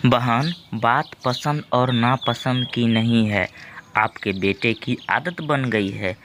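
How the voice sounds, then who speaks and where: Man playing a calm, slow-talking wise mother bird. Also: medium pitch, noisy background. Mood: calm, advising. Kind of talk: acted cartoon moral story.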